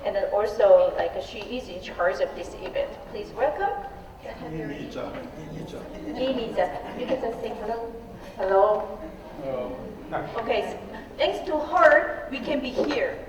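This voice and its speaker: A woman's voice: medium pitch, animated, unhurried, long pauses, crowd noise. A woman hosting and interpreting at a live event, chatty and full of fillers, often checking in with "okay?". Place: large exhibition hall. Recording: echoey hall, distant mic, noisy.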